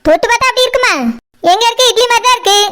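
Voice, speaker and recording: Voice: very high voice, rapid-fire, sharp tone. A performer voicing a grumpy, bossy, suspicious shop owner in a comic cartoon, scolding sarcastically with a Kanyakumari Tamil accent.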